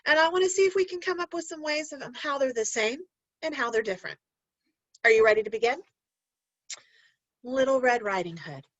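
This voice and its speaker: A woman's voice: high-pitched, animated, brisk, long pauses, fairly bright tone, dry recording, highs cut off. A woman teacher reading a storybook aloud to young learners, speaking expressively and instructively and addressing the children directly.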